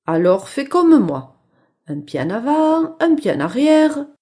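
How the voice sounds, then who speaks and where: A helpful male donkey from a storybook, acted out by a woman. He brays his greetings and instructs in a slow, friendly way, with lively swings of pitch. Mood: encouraging, instructive. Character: friendly, helpful male Catalan donkey who teaches dancing.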